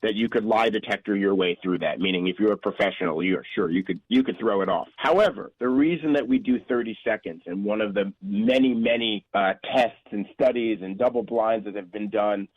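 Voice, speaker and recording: A man's voice: lowish voice, brisk, hardly any pauses, slightly rough, no echo. Earnest middle-aged man, dull radio sound. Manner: rambling, earnest, self-correcting. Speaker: company founder, guest. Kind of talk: radio interview answers. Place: radio studio.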